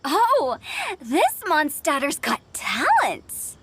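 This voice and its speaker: A woman's voice: high-pitched, huge pitch swings, extremely slow, fairly bright tone, slight background noise, full character voice. A woman playing an appreciative young poetry enthusiast in a video game, speaking in ornate, formal phrases. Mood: impressed. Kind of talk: video game character acting.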